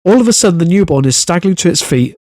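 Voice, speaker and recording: A man with a Welsh accent co-hosting a comic podcast, reading stories in a halting, giggly way. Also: high voice, animated, darkish tone, dry recording, clean studio sound. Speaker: podcast co-host. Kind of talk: comedic news reading.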